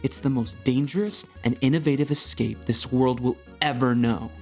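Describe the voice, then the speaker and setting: An actor playing an anguished, driven escape artist in a stage musical, in speech and song. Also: male voice, mid-range voice, normal speed, a little mellow, lightly acted. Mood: driven, excited. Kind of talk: musical theatre singing and dramatic acting. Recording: music under the voice.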